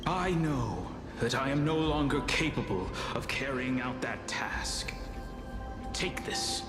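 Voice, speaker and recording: An actor playing an imperious, driven dead alchemist in a game, declaiming in formal, theatrical phrases. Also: male voice, medium pitch, animated, slow pace. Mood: grave, resolute. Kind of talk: scripted game drama acting. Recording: echoey, with game music behind.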